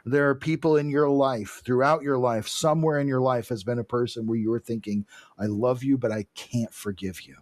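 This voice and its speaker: A man's voice: mid-range voice, fairly even intonation, normal speed. A man co-hosting a podcast, casually recapping and commenting on a comic book in a conversational way. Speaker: podcast co-host.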